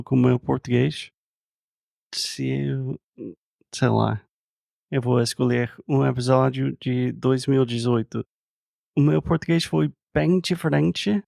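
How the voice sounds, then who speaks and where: American guy speaking learner portuguese, super gravelly and dark, slow, fillers everywhere, dry mic. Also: medium pitch, fairly even intonation, no echo. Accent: American English. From United States. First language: English. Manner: slow, searching for words, says "tipo" often. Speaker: podcast co-host. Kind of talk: casual conversational chat.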